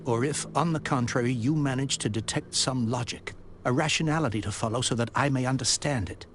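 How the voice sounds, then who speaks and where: A man voicing a stern, grieving old gentleman in a game, formal and haughty speech, mid-pitched voice, faint background hum. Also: a little fast, lightly acted. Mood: pleading. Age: older. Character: older grieving industrialist, proud and stern. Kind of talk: game voice acting.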